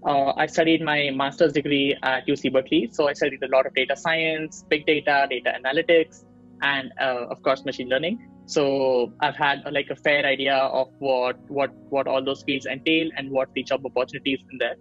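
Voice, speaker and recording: A man's voice: mid-range voice, level tone, fairly quick, fairly bright tone, dull audio, faint music behind. A young man from India, explaining his field on a video call with many fillers and restarts, recorded on earbuds in a small room at home. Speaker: machine learning engineer guest. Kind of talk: casual interview on a video call.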